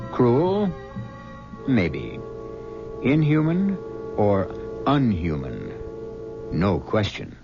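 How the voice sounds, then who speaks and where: A man hosting a 1970s radio drama, narrating between acts in a measured, wry way. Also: on the low side, a little mellow. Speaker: host. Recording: dull 1970s radio broadcast with music.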